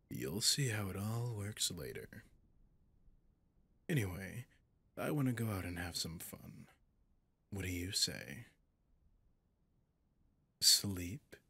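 A man's voice: deep voice, a bit bright, full character voice. A man voicing a possessive, gloating demon in an ASMR roleplay, seductive and menacing, often slipping into a whisper. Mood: wicked, teasing. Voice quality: gravelly, breathy, often whispering. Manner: slow, seductive, menacing, condescending.

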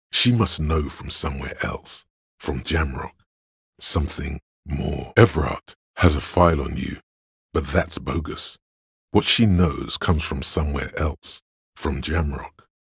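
Very deep, raspy voice of logic. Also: male voice, no room sound, heavily acted. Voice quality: very deep, gravelly rasp. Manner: measured, deliberate, analytical, dry. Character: calm, cerebral voice of Logic inside a detective's mind. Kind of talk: video game inner-voice narration.

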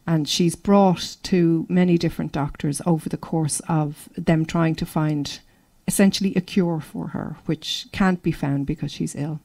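A middle-aged woman with an Irish accent speaks to a seated room in a conversational way. Her voice is soft-edged and mellow, sitting a little low for a woman. She sounds like an author explaining her research. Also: steady tone, unhurried, few pauses. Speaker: author giving a talk. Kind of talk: public literary talk, conversational. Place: indoor library room before a seated audience.

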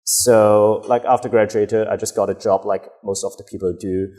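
Soft-spoken young man. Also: fairly low pitch, steady tone, normal speed. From Hong Kong. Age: 20s. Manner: hesitant, many fillers and restarts. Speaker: guest, bar co-owner. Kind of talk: casual interview answers. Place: dim bar set with a host. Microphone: lapel mic.

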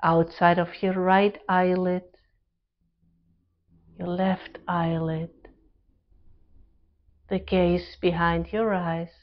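A woman leading a guided relaxation meditation as an instructor, speaking calm, gentle instructions in short phrases with pauses between them. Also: fairly low pitch, muffled recording.

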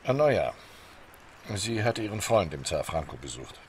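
A man's voice: low voice, big pitch swings, normal speed, a little hiss or hum. An actor playing a curt, evasive male diplomat in a crime radio drama, speaking in a terse, guarded way.

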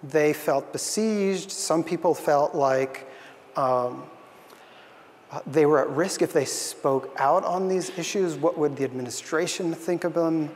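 A man's voice: on the high side, a little slow, a little mellow, in a large hall, slight background noise. A young man giving an earnest, thoughtful conference talk on a stage, with frequent fillers. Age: young adult. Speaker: conference speaker. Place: stage in a large hall.

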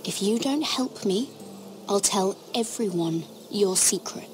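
A woman playing a reserved, quietly determined young noblewoman in a dramatic video game. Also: mid-range voice, animated, measured pace, a little hiss or hum, close to an everyday voice. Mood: firm, threatening.

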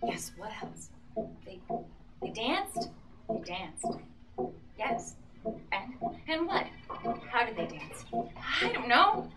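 A young woman playing an anxious mother questioning herself in a halting, agitated live radio-play performance. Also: a little high, big pitch swings, bright, forceful tone, a little hiss or hum, heavily acted. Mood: agitated, anguished. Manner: agitated, halting, swinging from murmurs to outbursts. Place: small bookshop with seated audience, indoors. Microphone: audience member's camera from a few metres. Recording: distant live camera recording, music behind. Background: violin accompaniment.

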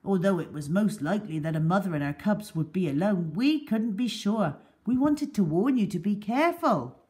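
Storybook bearded wildlife rescuer, played by a woman: firm, kindly, very animated intonation. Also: medium pitch, normal speed, voice pushed up, natural voice. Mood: earnest explaining. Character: gruff bearded man, stern then kindly wildlife rescuer.

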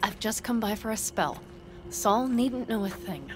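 A woman's voice: medium pitch, lively intonation, measured pace, noisy background, close to an everyday voice. A woman playing a hot-tempered, sarcastic young nomad mercenary in a video game, blunt and sardonic. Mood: brisk. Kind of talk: video game dialogue acting. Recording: music behind the voice.

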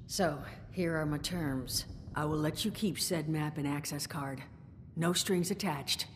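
Tired wry lady bar owner from a video game, low voice, big reverb. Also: measured pace. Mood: wry, businesslike. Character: world-weary, wry woman bar owner, guarded and grieving. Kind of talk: video game dialogue acting. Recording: reverberant game audio with rumbling noise.

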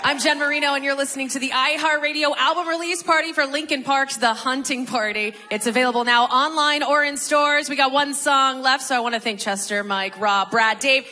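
A woman's voice: high voice, fairly even intonation, brisk, hardly any pauses, sharp tone. A woman hosting a live event on stage, projecting enthusiastically into a microphone in an echoey hall. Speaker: radio event host. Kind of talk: onstage announcing and interviewing. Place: concert theater stage. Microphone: handheld microphone. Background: crowd and faint music.

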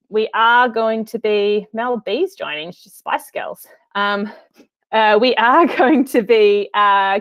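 A woman's voice: a little high, no echo. A woman in her thirties with an Australian accent, hosting an online webinar in a chatty, filler-heavy way over a video call. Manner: chatty, friendly, lots of um and uh. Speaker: webinar host. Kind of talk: casual presenting with many fillers.

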